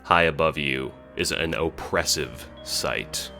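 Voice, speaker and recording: Male audio drama narrator, extremely low and gravelly, reading second-person story text over light music. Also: slow, sharp tone. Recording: background music under the voice.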